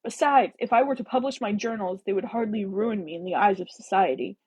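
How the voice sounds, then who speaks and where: A woman voicing an exasperated, insistent gentleman in a Regency romance audiobook, testy and persuasive in manner. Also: medium pitch, a little fast, husky, lightly acted, rough recording. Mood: reasoning, decided.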